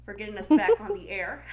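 A congested woman co-hosting a podcast in casual chat, blunt and quick to swear and laugh. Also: medium pitch. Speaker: podcast co-host.

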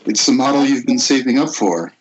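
Man playing a gruff, awkward dad, muffled audio. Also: mid-range voice, expressive, brisk, a little hiss or hum, natural voice. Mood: awkward, tender. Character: Alice's gruff, awkward father, a recovering drinker. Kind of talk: amateur script table read. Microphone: Skype call. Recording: dull lo-fi internet call.

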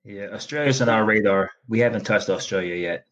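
A man's voice: lowish voice, a little fast, fairly bright tone, muffled recording. A man from New York co-hosting a podcast, joking around in a playful, wisecracking way. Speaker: podcast co-host. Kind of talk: joking casual chat.

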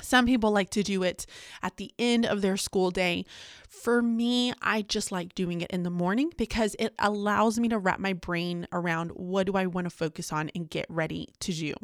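A woman's voice: medium pitch, steady tone, moderate pace, dry recording, studio quality. A woman vlogger chatting casually and candidly, with a self-deprecating, confiding manner. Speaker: teacher and vlogger. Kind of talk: casual confiding vlog chat.

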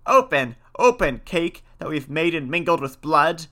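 A man voicing vengeful, commanding horned witches in a folktale, calling out loudly. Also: fairly high pitch, normal speed. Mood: vengeful fury. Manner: loud, commanding. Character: band of raging horned witches, shrieking demands. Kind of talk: folktale storytelling.

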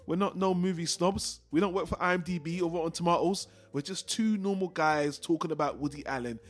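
Higher-pitched man hosting a casual podcast. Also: fairly quick, faint music behind. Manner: blokey, informal, swearing banter. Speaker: podcast host. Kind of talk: casual film discussion podcast.

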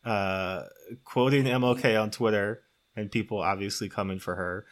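A man's voice: on the low side, steady tone, normal speed. A man co-hosting a podcast in a casual, conversational, joking style. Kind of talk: casual comedic podcast chat.

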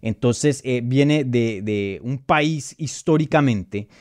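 A man's voice: medium pitch, slow, a little mellow, no room sound, studio quality. Colombian man giving casual fight analysis. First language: Spanish. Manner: conversational, emphatic, many fillers and restarts. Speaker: MMA analyst and channel host. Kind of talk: casual live commentary answering viewer questions.

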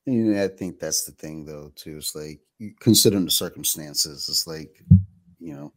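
Very deep-voiced man hosting a chat. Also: normal speed. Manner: relaxed, conversational, lots of "like" and "you know". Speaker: podcast host, interviewer. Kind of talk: casual interview chat.

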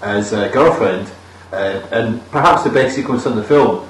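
A man's voice: lowish voice, moderate pace, slight background noise. A young man co-hosting a podcast, reviewing a film in dry, sarcastic casual chat. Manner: dry, sarcastic, laughs at his own jokes. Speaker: podcast co-host. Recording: roomy, distant mic, faint ambience.

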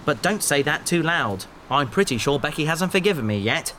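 A man's voice: a little high, expressive, moderate pace, sharp tone, slight background noise. A man voicing a cheerful, cheeky male crew member who jokes in a breezy way. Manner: breezy, joking. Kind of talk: audio drama acting.

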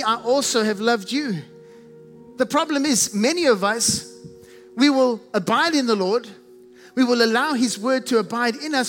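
High-voiced South African man preaching. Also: a bit bright. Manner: emphatic, repeats strings of synonyms, stretches key phrases slowly. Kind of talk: sermon. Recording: reverberant hall with faint music behind.

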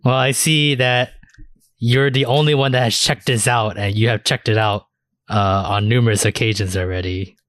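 A man playing a young, easygoing college librarian in an improvised comedy sketch, with a halting, bemused manner. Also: medium pitch, fairly even intonation, fairly bright tone, no room sound, clean studio sound, lightly acted. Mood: bemused, polite. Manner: halting, repeats words, bemused.

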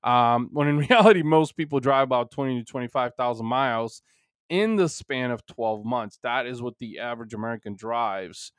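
A man's voice: medium pitch, normal speed, smooth, dry recording. Earnest Bulgarian-born man, dry close sound. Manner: earnest, rambling, many "you know" fillers. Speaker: podcast host. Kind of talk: solo podcast monologue.

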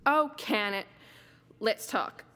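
A woman voicing the ghost of an executed male killer in an old-time radio drama, speaking slowly in a teasing, menacing way. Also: mid-range voice, bright, forceful tone. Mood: taunting.

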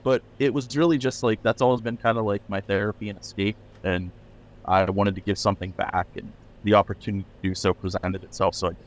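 A man's voice: on the low side, fairly even intonation, quick pace, rough recording. A middle-aged man from Pennsylvania, a record label owner, chatting loosely in a podcast interview with many fillers and restarts. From Pennsylvania, USA.

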